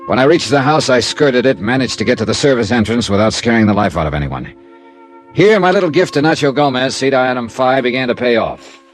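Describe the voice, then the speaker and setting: An actor playing a wisecracking, hard-boiled insurance investigator with an American accent, wry and clipped, in an old-time radio detective drama. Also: male voice, medium pitch, lively intonation, a little fast, faint music behind, natural voice. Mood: wry narrating. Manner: wry, clipped, tough-talking.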